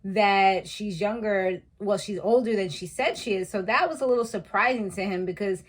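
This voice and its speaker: A woman's voice: mid-range voice, normal speed. A woman in her thirties casually recapping a reality show, chatty and slangy, laughing at her own asides. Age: 30s.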